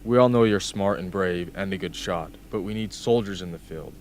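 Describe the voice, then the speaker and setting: A man's voice: sits low, normal speed, natural voice. An actor playing a firm, reasoning male military superior in an amateur radio play. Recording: noisy amateur recording.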